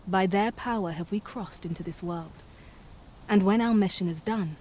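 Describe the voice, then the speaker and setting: Woman playing a solemn, soft-toned otherworldly servant guide. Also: medium pitch, slight background noise, lightly acted. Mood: solemn, courteous. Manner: formal, measured, deferential. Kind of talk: scripted fantasy game dialogue, archaic formal English.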